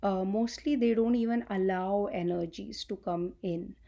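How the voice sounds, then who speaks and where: A young adult woman talking casually to her viewers, speaking slowly and softly with frequent fillers, recorded without a microphone. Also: vocal fry. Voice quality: low-pitched voice by her own account. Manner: soft-spoken, slow and explanatory, frequent fillers. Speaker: channel host and healing coach. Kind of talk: casual talk to camera. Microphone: no microphone, recorded on device.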